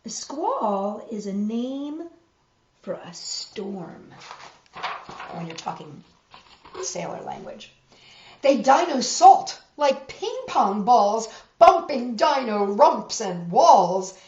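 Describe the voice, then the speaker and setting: A woman reading a picture book aloud to children in a measured, expressive way, with emphasized rhymes and friendly asides. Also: fairly high pitch, slight background noise. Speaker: storytime host reading picture books. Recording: roomy echo, slightly dull sound.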